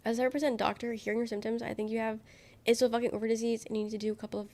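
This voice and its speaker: A woman's voice: on the high side, little pitch movement, racing, dark tone. A young woman livestreamer chatting casually with viewers, her voice nasal and worn from being sick, rambling as she reads chat aloud. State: sick, congested voice.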